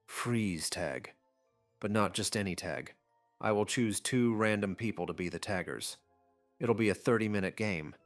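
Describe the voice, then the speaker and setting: A man's voice: lowish voice, unhurried, dry recording, soft background music, lightly acted. A man voicing a gleeful, sadistic godlike game master in an anime audiobook, taunting and mockingly amused.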